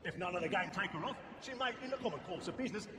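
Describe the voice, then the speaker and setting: An actor playing a pompous, roguish crime boss in a period stage play, declaiming theatrically and relishing each phrase. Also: male voice, high-pitched, lively intonation, very fast, background noise. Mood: scheming, self-satisfied. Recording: echoey theater hall under loud music.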